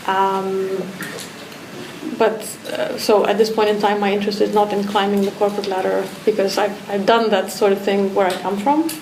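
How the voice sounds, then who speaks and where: A woman's voice: mid-range voice, little pitch movement, normal speed. Woman from Romania in an echoey room. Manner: reflective, loose, many restarts and fillers. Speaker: artist guest. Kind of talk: talk discussion. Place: art academy pavilion. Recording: echoey room with background noise.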